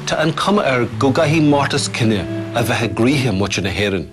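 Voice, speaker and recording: A man from Ireland narrates a television documentary. His voice sits mid-range and moves with lively pitch. Music plays clearly under him. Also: a little fast. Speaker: presenter.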